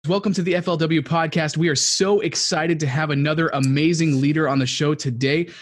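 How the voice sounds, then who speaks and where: A man's voice: on the high side, fairly even intonation, brisk, a little hiss or hum. A man from New England hosting a podcast, giving upbeat introductions and asking icebreaker questions. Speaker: podcast host.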